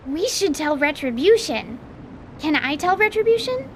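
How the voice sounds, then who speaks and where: Excitable, very high-pitched little girl. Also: moderate pace, slight background noise. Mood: curious, unsure. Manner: wide-eyed, gushing, exclaiming. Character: excitable young girl, apprentice magician, full of wonder. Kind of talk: fantasy audio drama acting.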